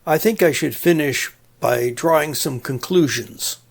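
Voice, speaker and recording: A man's voice: fairly high pitch, measured pace, creaky. A man narrating a DIY electronics explainer in a plain-spoken, methodical and mildly folksy manner. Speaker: electronics hobbyist presenter.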